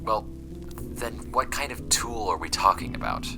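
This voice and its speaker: A man's voice: fairly low pitch, big pitch swings, unhurried, creaky, bright, forceful tone, soft background music, full character voice. A man playing a weary, skeptical, sardonic young man in an audio drama, with a dry, grumbling delivery. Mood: bleak, sarcastic.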